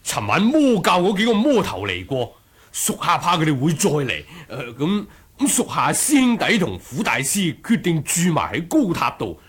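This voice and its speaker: An actor playing a nervous, deferential martial-arts master with a hesitant manner in an audio drama. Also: male voice, a little high, wildly swooping pitch, normal speed, a bit bright, slightly rough, full character voice. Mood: flustered, covering up. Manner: hesitant, flustered. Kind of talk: Cantonese audio drama acting.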